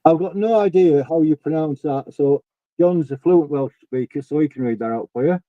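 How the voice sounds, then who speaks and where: A man in his forties chatting casually on a video call and talking about albums in a relaxed way. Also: on the high side, normal speed, no echo, phone-quality sound. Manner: laid-back, talks through records. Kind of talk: casual chat. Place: home room with a dark curtain behind. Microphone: webcam video call.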